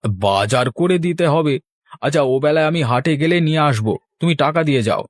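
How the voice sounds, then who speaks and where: A man's voice: on the high side, animated, fairly quick, no echo. A man voicing a stingy village husband in a cartoon, protesting in colloquial, dramatic speech. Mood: exasperated. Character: stingy village husband, grumbling and evasive about money. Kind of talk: Bengali cartoon dialogue.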